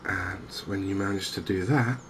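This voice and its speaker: A man softly narrating a game in ASMR style, hushed and hesitantly thinking aloud. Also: low voice, normal speed, poor audio. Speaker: gaming video host. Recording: noticeable background ambience noise. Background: game ambience.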